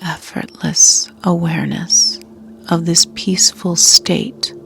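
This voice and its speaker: A woman leading a guided meditation as a yoga nidra guide, soothing and hushed, with soft breathy edges that fall near a whisper and long pauses. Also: lowish voice, steady tone, soft background music.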